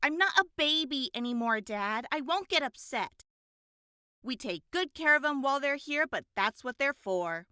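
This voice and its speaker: A woman's voice: high voice, expressive, normal speed, pushed and bright, no echo, clean studio sound. A female performer playing a self-assured, matter-of-fact young girl in an audio drama, with a childlike manner. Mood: insistent.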